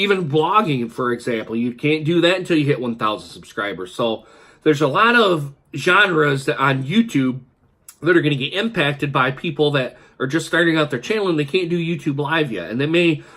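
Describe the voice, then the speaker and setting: A man's voice: fairly high pitch, fairly bright tone. Congested man rambling in a home vlog. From Michigan. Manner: rambling, many fillers and restarts. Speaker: YouTuber. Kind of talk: casual talking-head vlog. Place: kitchen at home, indoors.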